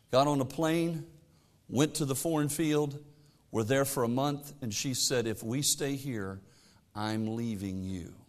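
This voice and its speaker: A middle-aged man preaching a sermon as pastor, swinging between loud exhortation and quiet asides, reading scripture aloud in a raised voice. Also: medium pitch.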